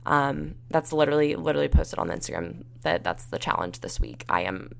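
Young female podcast host, low gravelly voice, tired and raspy, rambling and casual. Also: sing-song, a little fast. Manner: rambling, many fillers like "like" and "you know". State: tired and dehydrated. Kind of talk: solo podcast monologue, casual advice.

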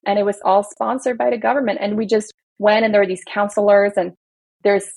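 Husky-voiced woman explaining workers' rights. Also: medium pitch, little pitch movement, a little fast, darkish tone, dry recording. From Belgium. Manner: earnest, explanatory, speaks in long building lists. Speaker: employment attorney guest. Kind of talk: casual podcast interview.

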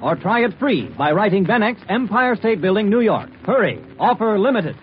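A man's voice: high-pitched, animated, moderate pace. A man reading an old-time radio commercial. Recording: muffled vintage radio recording, faint noise.

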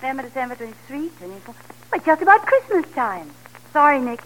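An actress playing a coy, exasperated wife with an arch, teasing manner in a 1940s radio comedy. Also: female voice, high-pitched, sing-song, fast. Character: coy, exasperated wife holding her husband off. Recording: noisy vintage 1940s radio recording.

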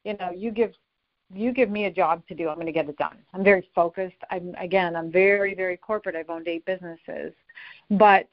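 A middle-aged woman talking as a guest in a casual interview, energetic and quick to restart her sentences. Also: lowish voice. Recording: dull lo-fi recording.

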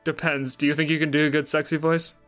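A man with a bright, slightly high voice hosts a casual stream. He chats informally over background music. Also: level tone, quick pace. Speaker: stream host. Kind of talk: casual chat.

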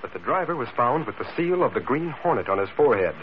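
A man's voice: mid-range voice, big pitch swings, fast talker, a little hiss or hum. A man narrating a radio serial in a formal 1940s announcer style. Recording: muffled vintage radio, loud music behind. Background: music.

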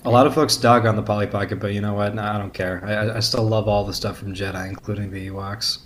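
A young man with a slightly low voice speaks as a podcast guest. He chats casually and gives his opinions candidly. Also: fairly even intonation, fast. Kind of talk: casual chat.

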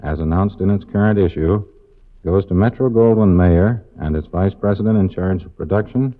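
Low-voiced man, tinny old radio. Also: moderate pace. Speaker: magazine editor presenting an award. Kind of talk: formal scripted 1950s award speech. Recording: thin, phone-like old radio recording.

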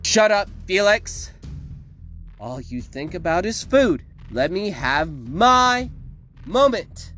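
Man playing a high, screechy, sickly villainess. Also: very animated, slow, soft background music. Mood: furious, screeching. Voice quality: screechy, hacking, coughing. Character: wicked, theatrical, sickly young villainess with a shrieking temper.

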